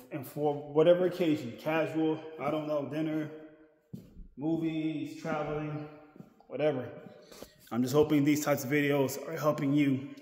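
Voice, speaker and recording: A man's voice: fairly high pitch, a little mellow. Rambling man vlogging in an echoey gym. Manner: rambling, repeats himself while walking around. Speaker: fitness vlogger. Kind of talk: casual chat to camera. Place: large indoor gym. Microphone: handheld camera at arm's length. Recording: echoey hall with long reverb.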